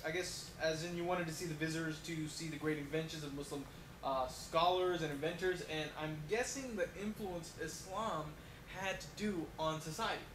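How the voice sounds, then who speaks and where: A man hosts a casual mock talk show, his voice sitting a little high. He rambles and pads every thought with fillers. The recording sounds roomy and echoey, with a loud hiss of noise underneath. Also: fairly even intonation, moderate pace.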